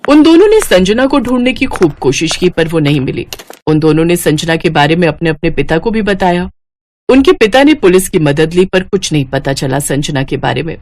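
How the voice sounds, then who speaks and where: A woman's voice: lowish voice, animated, moderate pace, a little mellow. A woman reading scripted narration for a moral story as its narrator.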